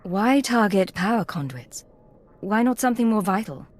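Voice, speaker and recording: A woman's voice: medium pitch, animated, moderate pace, natural voice. A woman playing a cool, self-possessed Imperial agent in a video game, speaking directly. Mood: businesslike.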